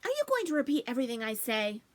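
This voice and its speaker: A woman's voice: sits high, wildly swooping pitch, quick pace. A woman voicing a bewildered, blunt little girl in a storybook, with a defiant, exasperated manner.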